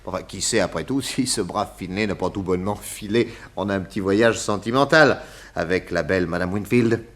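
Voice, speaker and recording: An actor playing a brisk, courteous and wry detective boss in a 1960s French radio drama, switching between probing questions and orders. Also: low-pitched, fairly bright tone. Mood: measured, wry.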